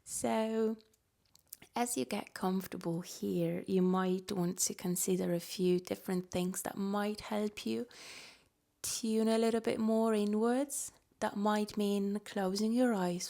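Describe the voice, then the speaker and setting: Woman yoga nidra teacher, soft gentle talk, really slow, kinda creaky, mid pitch. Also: fairly even intonation. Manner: soft-spoken, gentle, unhurried invitations. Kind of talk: guided relaxation meditation.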